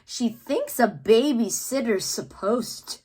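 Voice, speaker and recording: Expressive, slow-paced woman reading children's poems aloud. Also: on the high side, husky. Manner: theatrical, deliberate phrasing with playful emphasis. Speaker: read-aloud host, teacher-like reader. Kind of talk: expressive children's poetry read-aloud with asides.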